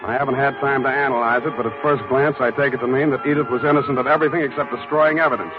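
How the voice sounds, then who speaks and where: An actor playing a wry, cynical American private detective in a brusque 1940s radio drama style. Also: male voice, mid-range voice, steady tone, very fast, over music, close to an everyday voice. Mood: weary, dry. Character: wry, hard-boiled male private detective. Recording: dull, lo-fi 1940s radio recording.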